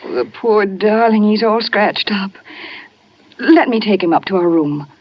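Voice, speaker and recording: An actress playing a seductive, anxious wife with theatrical pleading in a 1950s radio melodrama. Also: female voice, mid-range voice, a little slow, slight background noise, lightly acted. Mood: doting, dismayed. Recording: muffled 1950s radio recording, faint music.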